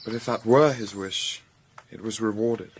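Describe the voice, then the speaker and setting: An actor playing a discreet, composed Victorian gentleman investigator in a period radio drama, speaking formally with measured, precise diction. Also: on the low side, slight background noise, close to an everyday voice. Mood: probing, measured.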